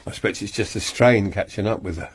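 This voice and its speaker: A man's voice: lowish voice, quick pace, slight background noise, close to an everyday voice. An actor playing a genial middle-aged husband and landlord who teases his wife fondly, in a period radio drama. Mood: concerned. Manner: hearty and fond, teasing his wife.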